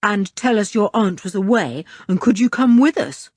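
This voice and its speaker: A woman reading a calm, stern older boy who takes charge, in a level, commanding, scornful way. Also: mid-range voice, unhurried, breathy edge, no echo, exaggerated voice. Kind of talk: audiobook character reading.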